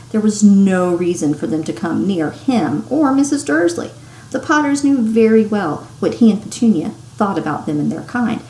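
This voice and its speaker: A woman teacher reading a story aloud to children in an expressive, animated way with dramatic emphasis. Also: on the high side. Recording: echoey room with clear background noise.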